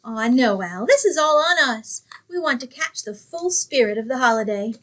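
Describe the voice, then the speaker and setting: Actress doing ditzy sweet young gal from old radio comedy, high bouncy voice, totally literal. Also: female voice, sing-song, moderate pace. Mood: sweet, credulous. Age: young adult. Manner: naive, scatterbrained, earnest. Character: sweet, dim-witted, literal-minded young single woman.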